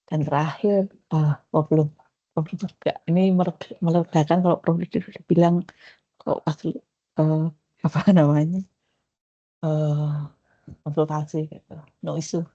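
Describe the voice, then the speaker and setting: A woman, reflecting on her doctoral journey in a personal talk to a research group, with many pauses and fillers. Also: low voice, little pitch movement, mellow, no room sound. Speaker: doctoral graduate sharing her experience. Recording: dull lo-fi call audio.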